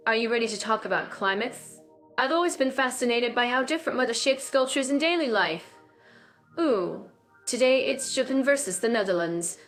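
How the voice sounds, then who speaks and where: A woman's voice: a little high, expressive, moderate pace, a bit bright, faint music behind. A woman co-hosting a scripted podcast, chatting in an upbeat way with bubbly little interjections. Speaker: podcast co-host.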